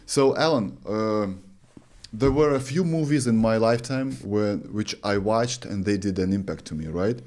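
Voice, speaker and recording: A man's voice: fairly low pitch, moderate pace, darkish tone. A man in his thirties from Lithuania, a native Russian speaker, hosting a studio podcast interview and speaking earnestly with frequent restarts. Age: 30s. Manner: earnest, searching for words, many restarts. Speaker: podcast host. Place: studio with green curtain. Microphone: studio mic on boom arm.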